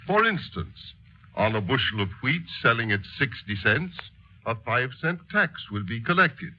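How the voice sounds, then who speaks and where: An actor playing an earnest schoolmaster turned politician, explaining emphatically in 1930s radio style. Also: male voice, medium pitch, very animated, normal speed. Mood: didactic. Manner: didactic, emphatic. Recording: muffled old 1930s radio recording.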